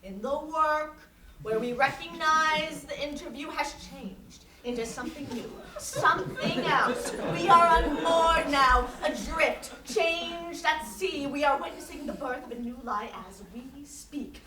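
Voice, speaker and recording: A woman's voice: high voice, measured pace, a crowd in the background. A woman playing a domineering, philosophizing interviewer in a staged play reading, making grandiose theatrical pronouncements. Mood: grandly philosophical. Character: domineering, philosophizing interviewer pushing another to abandon ego. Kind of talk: staged play reading before a live audience. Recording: echoey stage from far off, audience noise.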